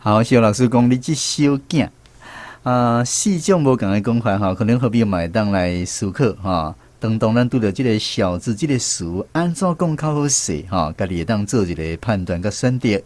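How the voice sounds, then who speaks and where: A man's voice: lowish voice, animated, moderate pace, darkish tone, dry recording. A man with a Taiwanese accent hosting an educational language quiz on the radio. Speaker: radio host of a language segment.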